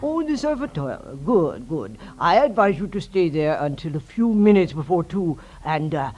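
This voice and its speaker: An actor playing a bloated, menacing little crime boss in a 1940s radio drama, with oily, formal, over-precise manners. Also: male voice, fairly high pitch, very animated, normal speed, darkish tone. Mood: oily, sweet. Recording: muffled vintage radio recording.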